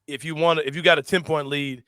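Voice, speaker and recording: A young man hosting a casual sports talk show, animated and slangy and quick to laugh. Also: on the high side, no room sound, clean studio sound.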